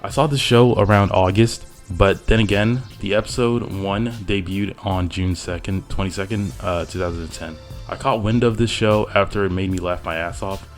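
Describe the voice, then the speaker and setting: A man's voice: low-pitched, steady tone, moderate pace, few pauses. A man giving informal, conversational commentary as a reviewer in a loosely scripted video essay. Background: background music.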